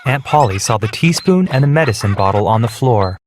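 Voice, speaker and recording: A man narrating a scripted children's story in a clear, measured way. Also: mid-range voice, lively intonation. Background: music.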